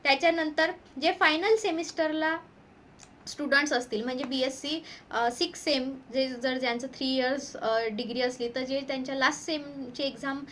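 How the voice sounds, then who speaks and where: Indian female coaching instructor, high voice, explanatory read-through with mixed-language terms, faint background noise. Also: steady tone, moderate pace, fairly bright tone. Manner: code-switches between Marathi and English terms. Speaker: exam coaching teacher. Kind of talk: explanatory lesson, reading notification points aloud.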